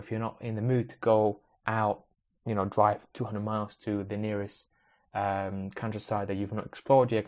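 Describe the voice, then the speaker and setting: A man's voice: lowish voice, a little mellow, highs cut off. A young man whose first language is Spanish, hosting a casual solo podcast and thinking aloud as he rambles and corrects himself. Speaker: podcast host.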